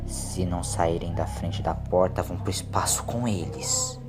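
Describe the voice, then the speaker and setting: A man's voice: low-pitched, huge pitch swings, a little slow, music in the background, pitched below the performer's own voice, heavily acted. A man voicing frightened, hostile passengers of an angry mob in an audio story. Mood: menacing. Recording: clear background noise.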